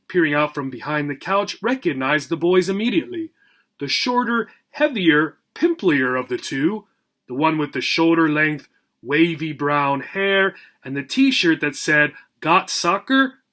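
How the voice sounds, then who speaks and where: A man reading a children's story aloud as a teacher, deliberate and expressive, emphasising words for learners. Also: a little high.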